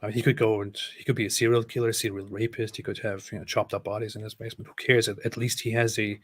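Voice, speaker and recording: A man's voice: fairly low pitch, flat delivery, fast, breathy edge, dry recording. A man hosting a casual livestream, talking in a rambling, sarcastic way with many fillers and restarts. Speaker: livestream host reading super chats.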